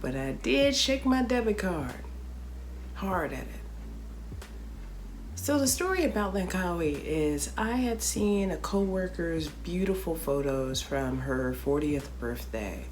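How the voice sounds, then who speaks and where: Playful, slangy woman storyteller, low voice. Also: animated, slow pace, a little hiss or hum. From Chicago, USA. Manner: playful, slangy, dramatic asides. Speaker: comedian telling a travel story. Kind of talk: casual storytelling vlog.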